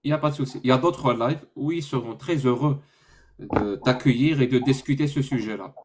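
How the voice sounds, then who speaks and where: A man's voice: mid-range voice, brisk, background noise. A man from Algeria hosting a live-stream debate, firm and corrective, with a dry sarcastic edge.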